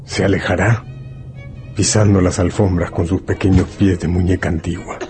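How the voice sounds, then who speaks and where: Deep-voiced male narrator over music. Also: little pitch movement, normal speed, a little mellow. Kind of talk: dramatic second-person scripted narration.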